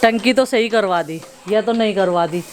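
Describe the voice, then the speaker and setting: A woman's voice: mid-range voice, normal speed, a little hiss or hum. A woman in her thirties chatting casually as she films daily life outdoors at her village home. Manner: chatty, explains as she goes, teasing with kids. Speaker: family vlogger.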